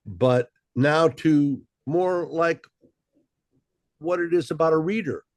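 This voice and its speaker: A man's voice: on the high side, slow pace, no echo. A man hosting a casual interview, easygoing and loose with fillers. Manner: relaxed, many fillers and repeats. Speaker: host.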